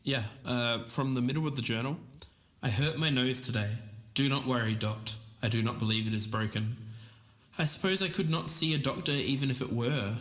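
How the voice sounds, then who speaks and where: A man's voice: medium pitch, moderate pace, a bit bright, natural voice. An Australian man playing a careful, earnest podcaster in a scripted audio drama. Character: earnest male podcaster investigating a mysterious lost show. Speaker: podcast co-host character.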